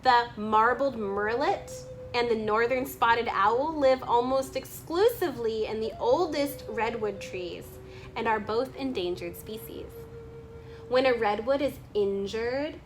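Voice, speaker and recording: A woman reading a nature book aloud in a measured, deliberate narration style. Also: on the high side, slight background noise. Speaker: reader reading a children's nonfiction book aloud.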